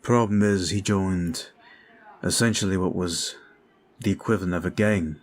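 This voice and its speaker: A man playing a calm, courteous, protective vampire in an ASMR roleplay, soft-spoken and halting, with formal phrasing. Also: low-pitched, a little hiss or hum, close to an everyday voice. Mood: grave, confiding. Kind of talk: ASMR roleplay audio drama.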